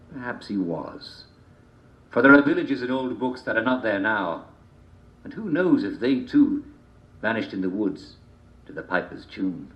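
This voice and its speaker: A man tells a fairy tale in a lowish voice that rises and falls dramatically. He is deliberate and theatrical, leaning on pauses and repetition. The recording is roomy and echoing. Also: highs cut off. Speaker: storyteller reading a folk tale.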